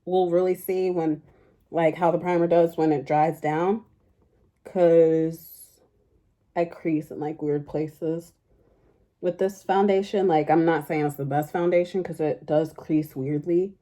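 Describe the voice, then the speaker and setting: Young woman beauty vlogger, deep and mellow, loose rambling delivery. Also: fairly even intonation, a little slow. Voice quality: fairly deep speaking voice. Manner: rambling, chatty, heavy use of "like" fillers. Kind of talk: casual makeup tutorial chat.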